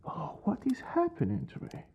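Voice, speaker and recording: A man acting a brooding vampire lord, aloof yet secretly caring, in a soft, breathy ASMR roleplay that often drops toward a whisper. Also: sits high, wildly swooping pitch, moderate pace, slight background noise, exaggerated voice. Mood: conflicted musing. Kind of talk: ASMR roleplay, intimate dramatic monologue.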